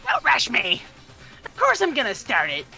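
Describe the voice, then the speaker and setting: A man's voice: very high-pitched, wildly swooping pitch, moderate pace, vocal fry, bright, forceful tone, soft background music, pitched above the performer's own voice, full character voice. A man voicing a gleefully cruel, cutesy robot bear mascot for a game, theatrical and taunting. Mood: mock indignation. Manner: theatrical, taunting, mock-cute. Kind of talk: hobby game character voice-over.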